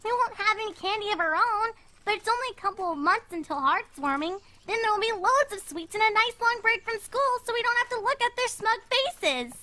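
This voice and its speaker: A woman voicing young cartoon fillies in a fan fiction reading, squeaky and childlike, with an earnest, plucky delivery. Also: lively intonation, fairly quick, bright, forceful tone, heavily acted. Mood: consoling.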